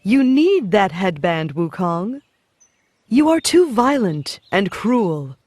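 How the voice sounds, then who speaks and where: A woman voicing a serene goddess of mercy in a children's animated story, composed and gently chiding. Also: medium pitch, slow pace, slight background noise. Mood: reproving. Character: serene female goddess of mercy, patient but reproving.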